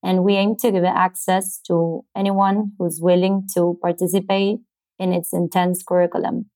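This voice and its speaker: A woman's voice: lowish voice, a little mellow. A woman from Argentina with an Argentine accent, a native Spanish speaker, explaining her work as an interview guest and sometimes searching for words. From Buenos Aires, Argentina. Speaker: interview guest, startup co-founder.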